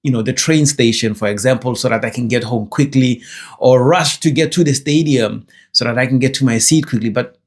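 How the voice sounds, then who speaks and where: Young South African guy, slightly breathy, casual digressive vlog talk, mid-pitched. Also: brisk. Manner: digressive, self-correcting, chatty. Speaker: vlogger. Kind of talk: casual rambling monologue.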